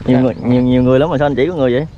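A man's voice: mid-range voice, fairly even intonation, very fast, muffled recording. A man with a southern Vietnamese accent bantering casually with friends in the open air. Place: outdoors on a rural roadside by water. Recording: noisy open-air rural roadside.

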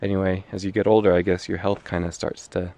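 A man of thirty chatting casually and haltingly to a handheld camera while walking outdoors on a trail, in an easygoing way. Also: low voice, little pitch movement, warm tone, dry recording. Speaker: vlogger. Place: outdoors on a wooded canyon trail. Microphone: handheld camera at arm's length. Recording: clear outdoor background noise on trail.

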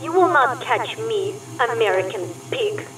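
A woman's voice: a little high, lively intonation, a little slow, a bit bright. A woman playing a haughty, menacing Soviet spy ghost in an audio drama, stern and scornful, with a Russian accent. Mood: defiant. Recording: roomy, distant, faintly noisy.

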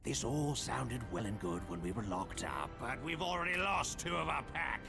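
A gruff cartoon wolf villain, sneering and challenging. His gravelly voice swings dramatically up and down, with music loud behind it. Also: medium pitch, big pitch swings, normal speed. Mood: defiant. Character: surly menacing male werewolf villain.

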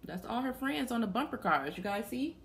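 A woman's voice: a little high, big pitch swings, brisk, creaky, a bit bright. A young adult woman reading a picture book aloud to children, stopping to put questions to her young listeners. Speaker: children's story reader. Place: small plain room indoors.

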